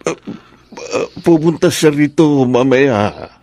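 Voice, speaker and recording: An actor with a Filipino accent playing a gentle middle-aged father in a radio drama, husky and tenderly reassuring. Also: fairly high pitch, slow. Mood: tender reassurance. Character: gentle middle-aged father comforting his sick child.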